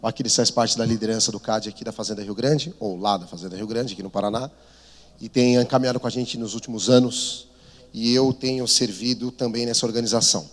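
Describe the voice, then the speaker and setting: A man's voice: fairly low pitch, a little fast. A man in his thirties from São Paulo with a Paulista accent, a missionary preaching in a chatty, self-deprecating way. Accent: Paulista Brazilian Portuguese. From São Paulo, Brazil. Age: 30s. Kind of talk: conversational sermon storytelling. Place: large church hall. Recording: long reverb of a church hall.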